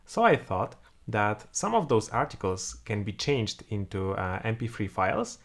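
A man's voice: mid-range voice, vocal fry, a bit bright. A man in his thirties with a Polish accent, talking through a coding tutorial and thinking aloud with many fillers while he types. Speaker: programming tutor. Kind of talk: screen-recorded coding tutorial. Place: home room, indoors.

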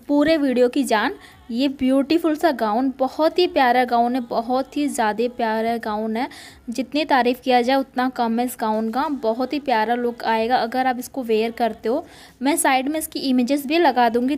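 A woman's voice: high voice, a little mellow. A woman narrating a shopping vlog casually, gushing with repeated praise. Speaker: shopping vlogger.

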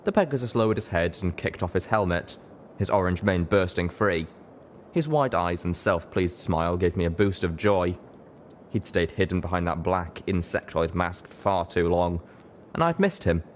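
Low-voiced male story narrator. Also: expressive, moderate pace, a little hiss or hum. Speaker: audiobook narrator. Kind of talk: scripted fan fiction narration.

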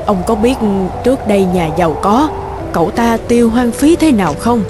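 A woman's voice: a little high, animated, normal speed, natural voice. A woman playing a kindly, motherly middle-aged housekeeper in a cartoon, caring and deferential. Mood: pitying. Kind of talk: cartoon dubbing. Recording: music loud behind the voice.

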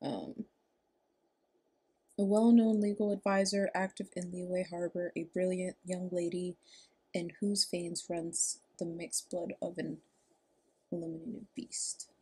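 A woman's voice: fairly low pitch, steady tone, slow, mellow, breathy edge, low-quality recording. A young adult woman giving casual commentary as a reaction video host. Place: small room indoors. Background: background music.

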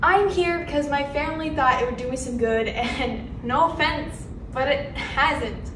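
A woman acting a dramatic monologue as a grief-stricken young nurse who blames herself, swinging between flat withdrawal and outbursts. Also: high voice, unhurried, noisy background. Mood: withdrawn. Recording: echoey room from a distance.

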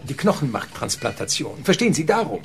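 A man's voice: mid-range voice, big pitch swings, brisk, soft background music. An actor playing a desperate, self-justifying, cowardly father in a radio drama, agitated and evasive, stammering as he talks. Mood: desperate, pleading.

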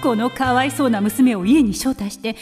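A woman's voice: fairly high pitch, normal speed, a little mellow, faint music behind, natural voice. An actress playing a wealthy upper-class lady in a radio drama, effusive and self-satisfied, with theatrical, refined ladylike speech. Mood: excited, gleeful.

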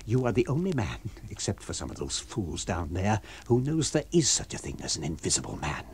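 A man voicing a menacing, imperious villain in an audiobook, commanding and threatening with quiet emphasis. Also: low-pitched, wildly swooping pitch, brisk, a little hiss or hum, exaggerated voice. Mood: intense urging. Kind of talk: audiobook character voice.

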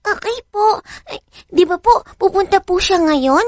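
A woman playing a sweet, eager, polite little girl in a radio drama, speaking in a childlike, halting way. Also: very high voice, no room sound, clean studio sound. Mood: sweet, wistful.

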